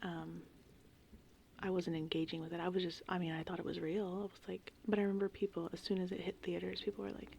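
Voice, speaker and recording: A woman in her thirties whispers softly and breathily in a casual, meandering ASMR ramble, chatting informally. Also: fairly low pitch, level tone, fast talker, gravelly, slight background noise. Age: 30s. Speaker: ASMR host, horror film fan. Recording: rain and thunderstorm ambience behind. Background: gentle rain and thunderstorms.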